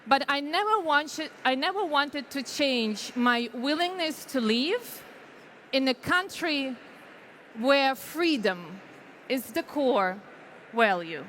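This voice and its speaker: A Ukrainian woman in her thirties, whose first language is Ukrainian, giving a slow, deliberate keynote speech on a conference stage with pauses between short phrases. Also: high voice, animated, a bit bright, a little hiss or hum. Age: 30s. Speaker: foundation founder giving a keynote.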